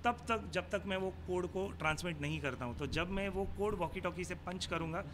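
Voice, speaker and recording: A man's voice: high-pitched, normal speed. A man with an Indian accent explains and demonstrates as a technical expert, mixing Hindi with English technical phrases. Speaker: technical expert being interviewed. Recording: clearly noisy on-location ambience.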